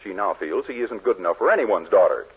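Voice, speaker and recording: A man's voice: low-pitched, lively intonation, fast talker, very noisy. An American man announcing in the style of 1940s network radio. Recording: tinny 1940s radio broadcast.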